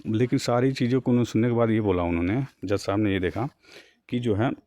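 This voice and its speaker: A man talks viewers through a document in an informal way. His voice is on the low side and quite mellow, with very little room sound. He drops English terms into colloquial speech as he reads and paraphrases. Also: steady tone, fast, dry recording. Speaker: job news YouTuber.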